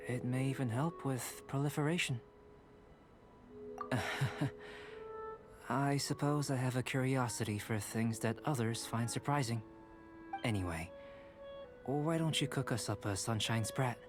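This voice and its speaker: A man voicing a calm, curious young male alchemist in a video game, speaking in a measured, polite, scholarly way. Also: medium pitch, close to an everyday voice. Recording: game audio with music behind. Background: music.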